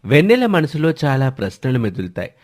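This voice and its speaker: A man reads scripted story narration in a medium-pitched voice. The intonation swings widely and keeps the telling animated. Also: a little fast.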